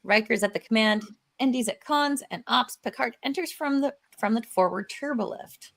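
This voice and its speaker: A woman's voice: fairly high pitch, normal speed, a bit bright. A woman hosting a live table read, narrating stage directions with informal, playful asides. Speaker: host and narrator.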